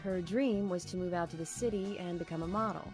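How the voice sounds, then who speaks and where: A woman acting as a low-voiced, composed policewoman. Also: a little fast, over music, natural voice. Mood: matter-of-fact, measured. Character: composed young female small-town police officer, plain-spoken. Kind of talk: video game voice acting.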